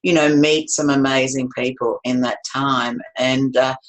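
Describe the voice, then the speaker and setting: A woman's voice: very deep voice, steady tone, a little slow, background noise. A woman in her seventies, telling her life story to an interviewer in a rambling, chatty way, with many restarts. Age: 70s. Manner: rambling, warm storytelling with frequent restarts and laughs. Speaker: interview guest.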